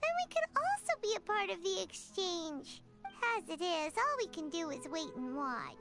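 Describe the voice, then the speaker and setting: A woman voicing a tiny floating fairy companion who is chirpy and blunt, with a high childlike voice, over game music. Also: very animated, moderate pace, full character voice.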